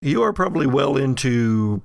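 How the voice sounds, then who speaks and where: Gravelly male game master. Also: medium pitch, big pitch swings, normal speed, dry recording, clean studio sound. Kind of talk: narrating a tabletop role-playing game.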